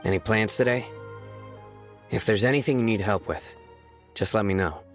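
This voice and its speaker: A man voices a young warrior judge from a video game, stern and earnest, in a low register. The delivery is formal, measured and deadpan. Music plays behind the voice. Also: natural voice. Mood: calm, courteous. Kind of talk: scripted video game character voice lines.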